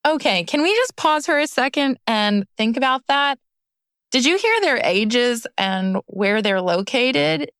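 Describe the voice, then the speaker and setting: A woman's voice: fairly high pitch, very animated, a little slow, a bit bright, smooth, no echo, clean studio sound. Upbeat, earnest woman podcast host. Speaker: podcast host and recovery coach. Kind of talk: scripted podcast monologue.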